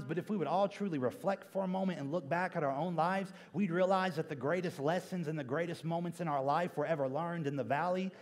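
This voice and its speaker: Young male preacher, high-pitched, emphatic and repetitive delivery, reverberant auditorium. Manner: emphatic, repeats key phrases for effect. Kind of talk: church sermon to a congregation. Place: large church stage indoors. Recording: reverberant church auditorium sound.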